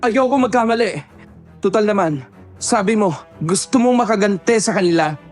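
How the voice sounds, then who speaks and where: A bold village man facing down monsters, as a man acts him out, yelling urgently. His voice sits strikingly high. Faint music plays under him. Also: lively intonation, moderate pace. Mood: relieved. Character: brave rural man fighting monsters. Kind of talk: audio horror story acting.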